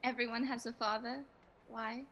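A woman playing a shy, self-mocking young governess in a radio play, gentle and hesitant. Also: a little high, flat delivery, moderate pace, lo-fi sound, slight background noise, lightly acted. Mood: shyly playful.

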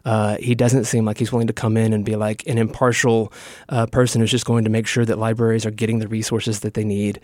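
A man's voice: lowish voice, level tone, fairly quick, a little mellow, dry recording, studio quality. A man giving interview answers as a state legislator.

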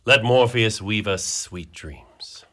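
A man playing a brooding, jealous warrior husband in an amateur Shakespearean radio drama, with a slow, declamatory delivery. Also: on the low side, a bit bright. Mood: brooding, ominous.

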